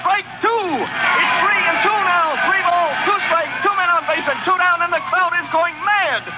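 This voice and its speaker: An actor playing an excitable male radio announcer calling a baseball game in urgent 1930s play-by-play style. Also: very high voice, moderate pace. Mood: frantic, thrilled. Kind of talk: 1930s play-by-play sports commentary. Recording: tinny, very noisy old radio, roaring crowd.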